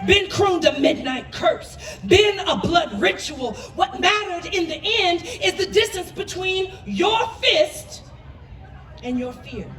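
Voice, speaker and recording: A woman's voice: very high-pitched, lively intonation, takes it slowly, a bit bright, a faint crowd. A woman performing spoken word poetry with an impassioned, emphatic and dramatic delivery. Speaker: poet. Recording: roomy echo, distant microphone.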